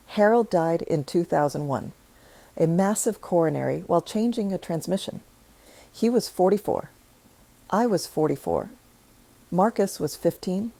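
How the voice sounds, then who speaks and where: Low gravelly woman narrating a story. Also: mellow, dry recording. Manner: measured, reflective storytelling. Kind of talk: scripted first-person story narration.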